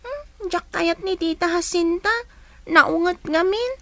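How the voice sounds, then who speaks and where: A woman's voice: extremely high pitch, takes it slowly, no echo, heavily acted. A woman playing a hungry, plaintive young child with an Ilocano accent in a radio drama.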